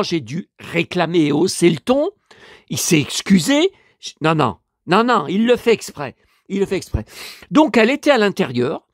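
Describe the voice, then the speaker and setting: Man, head cold, stuffy, rambling, exasperated complaining, animated pitch, dry. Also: dry recording. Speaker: vlogger and collector. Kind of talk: casual monologue vlog.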